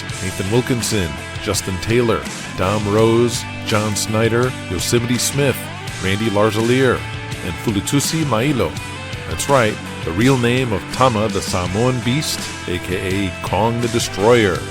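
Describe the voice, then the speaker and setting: A man's voice: on the low side, unhurried, music in the background. A man hosting an audio drama podcast, reading a scripted introduction with tongue-in-cheek asides.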